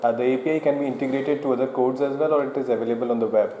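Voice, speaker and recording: A man puts an informal question from the audience, talking very rapidly. His tone is mellow, and the large room rings around his voice. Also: medium pitch, steady tone. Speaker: audience member asking a question. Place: lecture room, indoors. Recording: echoey hall, faint background noise.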